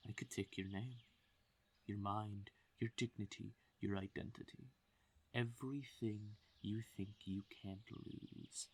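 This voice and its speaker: A man acts out a fae king of fantasy audio roleplay, smug and possessive. His voice is low and gravelly, and he takes his time with every phrase. He speaks intimately to the listener, teasing and commanding with a silky menace. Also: darkish tone, exaggerated voice. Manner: slow, teasing, commanding, with drawn-out pauses.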